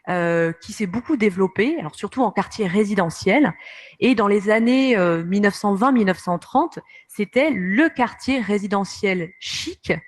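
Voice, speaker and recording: A woman lecturing in a conference room, walking her audience through slides. She pauses often on fillers and restarts her phrases, then turns to the listeners to point things out. Her voice sits at a middling pitch. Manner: hesitant, many fillers and restarts; explanatory, addressing the audience directly.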